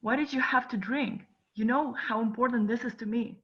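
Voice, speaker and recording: A woman acts out a stubborn, anxious teenage girl at a script table read. Her voice sits on the high side and carries a defiant, exasperated manner. The recording is slightly dull and lo-fi. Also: fairly even intonation, normal speed, husky. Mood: annoyed.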